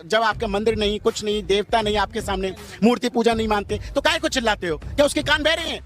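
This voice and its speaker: A man's voice: sits high, fast talker, a bit bright. A man giving a heated speech in a confrontational manner, full of rhetorical questions.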